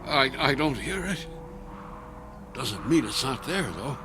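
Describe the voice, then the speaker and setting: An actor playing an anxious, protective husband in a radio drama, with a halting, stammering, urgent delivery. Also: male voice, mid-range voice, big pitch swings, background noise. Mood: tense.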